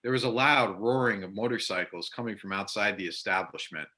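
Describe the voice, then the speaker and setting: A man's voice: on the low side, a little fast, sharp tone. A man reading scripted narration and stage directions for a readers theater play.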